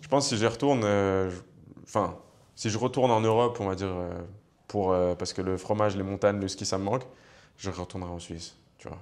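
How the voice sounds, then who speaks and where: A young man from Savoie hosting a casual interview, relaxed and joking, with many fillers. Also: deep voice, fairly quick. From Savoie, France. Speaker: host.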